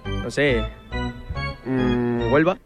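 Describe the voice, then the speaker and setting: A man's voice: medium pitch, very slow, over loud music. A young man stopped on the street, answering quiz questions hesitantly and casually. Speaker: passerby. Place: outdoors on the street.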